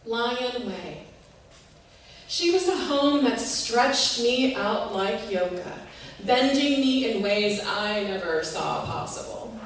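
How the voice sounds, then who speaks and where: A woman giving an expressive, measured reading of a literary piece, recorded in a reverberant room from a distance. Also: fairly high pitch. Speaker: reader of a short literary piece. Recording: echoey room, distant mic, faint noise.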